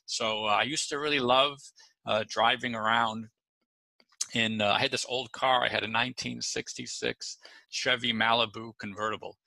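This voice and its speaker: Man with a gravelly, bright voice. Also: mid-range voice, moderate pace, dry recording, poor audio. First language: English. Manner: relaxed, many fillers and restarts. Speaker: podcast guest. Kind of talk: casual interview answers.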